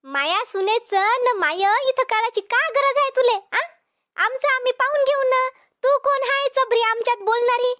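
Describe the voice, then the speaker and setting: A woman voicing a quarrelsome cartoon village mother-in-law with a Vidarbha Marathi accent, scolding and threatening in a pitched-up voice. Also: moderate pace, pushed and bright. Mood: indignant. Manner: scolding, repetitive challenges. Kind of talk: cartoon comedy dialogue.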